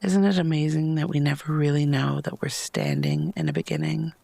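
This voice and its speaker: A man with a slightly high-set voice guides a meditation in a soft, gentle tone full of pauses. Heavy vocal fry runs through his phrases, which often fade toward a whisper. The sound is close and dry. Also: expressive, no echo. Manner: soft, breathy, lapsing into near-whispers; unhurried, gentle, with long pauses.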